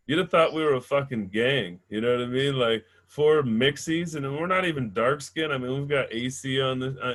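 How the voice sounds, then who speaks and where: A man's voice: medium pitch, a bit bright. A man hosting a comedy show, chatting loosely with the audience and introducing the next act, swearing casually.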